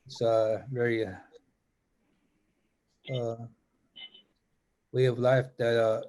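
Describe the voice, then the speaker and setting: Older man, first language Lakota, praying at a gathering, unhurried, middle-pitched. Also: little pitch movement, a little mellow, low-quality recording. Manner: slow, measured, pausing often. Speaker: spiritual leader giving opening prayer. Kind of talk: ceremonial prayer and speech.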